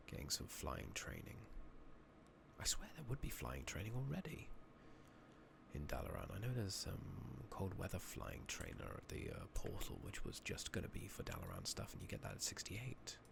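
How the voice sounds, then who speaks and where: A man giving soft-spoken, partly whispered ASMR commentary on a game, muttering and thinking out loud, recorded close on a makeshift mic. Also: low voice, wildly swooping pitch, a little slow, vocal fry, pauses often. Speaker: game streamer narrating a playthrough. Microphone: close makeshift microphone. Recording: noisy makeshift mic with clicking.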